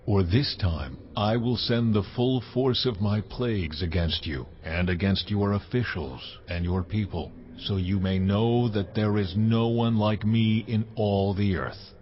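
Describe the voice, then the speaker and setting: Man voicing a stern, low-voiced God. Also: unhurried, hardly any pauses, natural voice. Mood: stern warning. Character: God, commanding, solemn and stern deity. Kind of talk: dramatized audio Bible acting. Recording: dull lo-fi audio with background noise.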